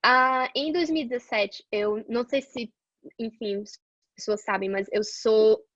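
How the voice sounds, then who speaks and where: A woman's voice: a little high, unhurried, fairly bright tone, dry recording. Young woman, from Portugal, casual storytelling, hesitant restarts, dull muffled recording. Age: young adult. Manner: chatty, hesitant, many restarts and fillers. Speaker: guest scientist sharing her career path.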